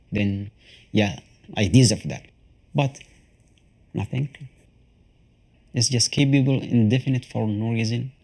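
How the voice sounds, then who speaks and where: Male interviewee, 30s, English speaker, creaky voice, halting and plain-spoken, candid documentary testimony. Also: mid-range voice, a little mellow. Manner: halting, plain non-native English with repeated phrases. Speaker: former detainee being interviewed.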